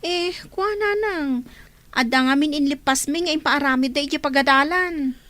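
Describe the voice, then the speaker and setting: Radio comedy: reluctant student girl woman performer, very high voice, Ilocano accent, dry sound. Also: normal speed, pushed and bright, no echo. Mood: sheepish excuses. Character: reluctant young female student making excuses to mother.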